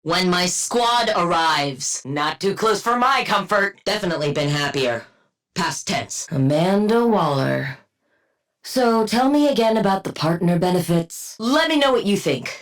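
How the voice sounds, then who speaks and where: An actress playing a brilliant, arrogant, sardonic supervillain scientist in a video game, precise and condescending, with dry wit. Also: female voice, lowish voice, lively intonation, measured pace. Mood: dry, smug. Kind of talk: video game character voice lines.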